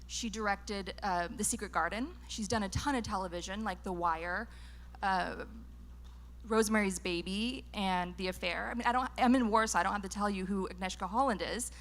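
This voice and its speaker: A woman's voice: medium pitch, a bit bright. A woman from Northern California with an American accent, giving an earnest conference talk on a handheld microphone, with frequent ums and restarts. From Northern California, USA. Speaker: public speaker. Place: lecture venue.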